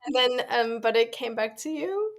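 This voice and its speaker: A woman hosting a podcast, asking casual, halting questions full of fillers. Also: a little high, expressive. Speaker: podcast host.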